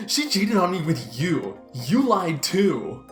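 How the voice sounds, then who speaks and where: A woman's voice: lowish voice, very animated, slow. A woman voicing a naive, easily hurt young man in an amateur comedic reading, earnest and incredulous. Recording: roomy echo with music behind.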